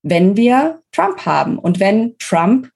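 A woman speaking as an interview guest, giving opinionated, explanatory political analysis in a conversational way, pausing between phrases. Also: medium pitch, very animated, creaky, darkish tone, husky.

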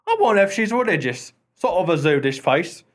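Cheeky working-class porter, fairly high voice, glib, folksy, teasing, radio play. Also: male voice, sing-song, a little fast. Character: irreverent, cheeky working-class male building porter, wisecracking.

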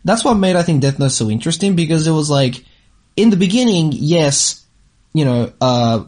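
Young man chatting, slightly husky voice. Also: fairly high pitch, animated, normal speed, darkish tone. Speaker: anime show co-host. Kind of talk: casual chat, discussing anime.

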